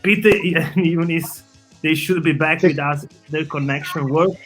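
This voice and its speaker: A man's voice: a little high, a little slow, a bit bright, faint music behind. A man from Italy, a native Italian speaker with an Italian accent, hosting an online video call show in a friendly, hesitant way. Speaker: show host.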